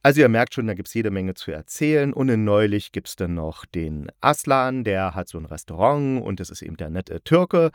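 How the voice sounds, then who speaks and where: A man's voice: on the low side, big pitch swings, normal speed, hardly any pauses, no echo, studio quality. A man hosting a podcast about audio dramas, chatting casually and rambling a little, with wry, ironic asides. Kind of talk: casual solo podcast talk.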